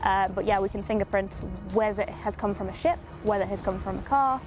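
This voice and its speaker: A woman's voice: mid-range voice, fast talker, over music. A woman PhD researcher casually explaining her air pollution measurements.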